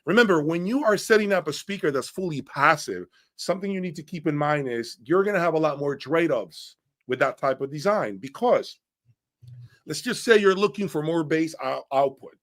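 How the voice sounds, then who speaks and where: A man's voice: a little high, expressive, moderate pace, a bit bright. Blunt, emphatic male audio reviewer. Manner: blunt, emphatic, often checks with "okay?" and "right?". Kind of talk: informal opinionated product breakdown.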